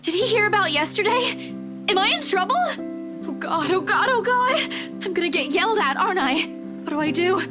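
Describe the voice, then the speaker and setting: A woman's voice: extremely high pitch, expressive, brisk, music in the background. An actress voicing an anxious, dutiful young working woman in an earnest, emotive visual novel performance. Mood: panicked.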